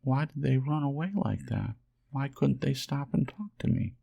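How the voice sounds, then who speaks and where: A timid little velveteen rabbit from a storybook, acted out by a man. The voice is lifted well up and has a gravelly edge. It protests earnestly, with a plaintive, wistful temper. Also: medium pitch, moderate pace, mellow, voice pushed up. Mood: pleading, wistful. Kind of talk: storybook character voice.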